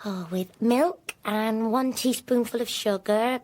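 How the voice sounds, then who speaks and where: Timid, high-voiced maidservant. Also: female voice, slow, darkish tone. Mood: anxious, deferential. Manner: deferential, anxious, simple. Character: timid, eager-to-please junior maidservant. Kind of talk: radio drama acting.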